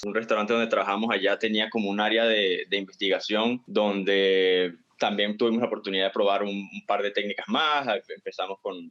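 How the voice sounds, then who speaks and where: Man, Venezuelan accent, guest chef, relaxed storytelling, lowish voice, muffled audio. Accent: Venezuelan Spanish. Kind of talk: casual interview chat.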